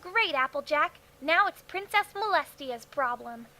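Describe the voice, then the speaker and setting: Woman voicing a very high, haughty cartoon pony. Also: lively intonation, a little slow, pushed and bright, faint music behind, full character voice. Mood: scheming. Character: female cartoon ponies in a comedy parody, self-absorbed and scheming.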